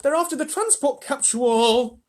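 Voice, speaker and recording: Earnest little boy voice from a guy, high and urgent. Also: animated, moderate pace. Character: eager earnest young boy.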